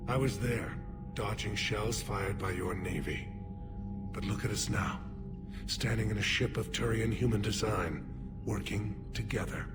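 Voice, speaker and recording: An actor voicing a dignified older alien military leader in a video game, grave and guarded, with a measured, formal manner. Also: male voice, on the low side. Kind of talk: video game cutscene acting.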